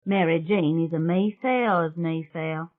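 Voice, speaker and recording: A woman's voice: sits low, slow pace. A woman voicing a gracious, firm older society lady who speaks in a genteel, commanding way. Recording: tinny phone-like sound.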